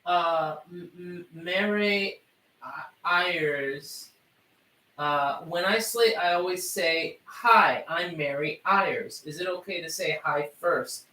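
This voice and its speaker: A man reading viewer questions aloud in a halting way, stumbling over names. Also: on the high side, sharp tone. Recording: roomy sound from a distance.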